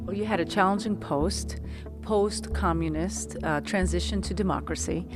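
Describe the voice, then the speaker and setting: Woman TV interviewer, courteous and formal, lowish voice, music bed clearly audible. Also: normal speed. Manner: formal, deferential, with a few restarts. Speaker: TV interviewer, host.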